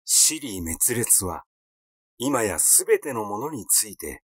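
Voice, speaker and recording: A man's voice: fairly low pitch, sing-song, takes it slowly, slightly rough, dry recording, studio quality. A man narrating a literary story from a script as an audiobook reader.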